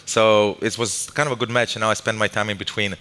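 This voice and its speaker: A man's voice: lowish voice, fairly even intonation, fast, bright, forceful tone. A man from Croatia, a guest on a live stage interview, talking loosely with self-mocking asides and many restarts. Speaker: guest, tech company developer lead. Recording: long hall reverb.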